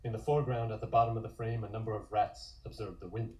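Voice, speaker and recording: A man reading scripted narration for a comic-book table read in a measured, descriptive way. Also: fairly low pitch, fairly even intonation, dark tone.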